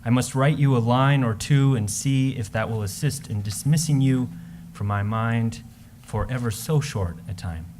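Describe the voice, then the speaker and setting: Man as lovesick young poet, ardent, mid-pitched, staged letter reading, faint noise. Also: moderate pace, a little mellow, natural voice. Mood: yearning. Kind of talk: readers theater love letter.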